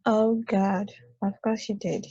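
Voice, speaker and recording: A woman playing a wry, weary, ancient space probe in a stage play table read, speaking in a dry, matter-of-fact way with a husky voice. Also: medium pitch, steady tone, slow pace, dark tone, dry recording. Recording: dull, lo-fi close dry recording.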